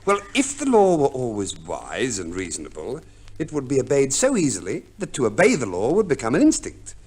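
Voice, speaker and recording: An actor playing a fussy, pompous divorce lawyer, formal and precise, in 1950s radio drama style. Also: male voice, medium pitch, wildly swooping pitch, a little fast, a little mellow, slightly rough. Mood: expansive, reasoning. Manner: formal, precise, unctuous, hesitant hums. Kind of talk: 1950s radio play acting.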